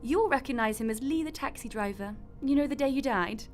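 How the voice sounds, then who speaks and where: Breezy, high-voiced female God played by a woman. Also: animated, fairly quick, faint music behind. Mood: flippant, exasperated. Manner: flippant, chatty, matter-of-fact explaining. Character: breezy, glib female God managing the afterlife. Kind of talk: radio drama acting.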